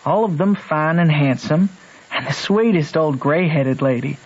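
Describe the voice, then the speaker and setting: A man's voice: a little high, unhurried, slightly rough. An actor playing a country boy with a Southern accent, telling his adventures plainly and colloquially in a radio drama. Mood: reflective storytelling. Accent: American Southern. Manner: plain, colloquial rural speech. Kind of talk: storytelling narration in old radio drama. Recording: dull old radio broadcast.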